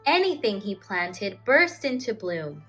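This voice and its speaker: Lady teacher doing story time for kids, slow n super expressive, up and down pitch. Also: faint music behind. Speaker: teacher reading children's storybook aloud. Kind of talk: expressive children's storybook reading.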